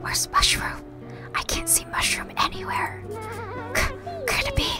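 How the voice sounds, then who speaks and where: A woman voicing a small, excitable, anxious firefly in a game, in a breathy, questioning whisper. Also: extremely high pitch, a little slow, over loud music. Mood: distressed. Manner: exclamatory, questioning.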